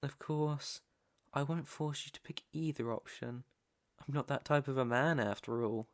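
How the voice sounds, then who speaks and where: A British man playing a controlling, possessive young mafia heir in an ASMR roleplay, deliberate and commanding, with menace under the coaxing. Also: medium pitch, natural voice. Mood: coaxing, controlled. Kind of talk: ASMR roleplay, second-person dramatic acting.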